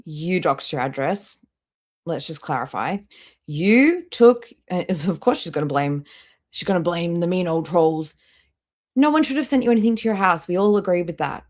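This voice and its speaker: A woman's voice: on the low side, lively intonation, moderate pace, a little mellow. A woman hosting a live stream with casual, blunt, critical reaction commentary and some swearing.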